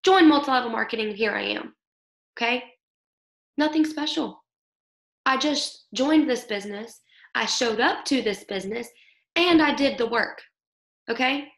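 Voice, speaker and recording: A young woman with a hoarse voice from illness, leading her team in an upbeat motivational talk over a video call, often checking in with "okay?". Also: fairly high pitch, moderate pace, long pauses, a bit bright. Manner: upbeat, coaching, keeps asking "okay?". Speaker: team leader. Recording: echoey room.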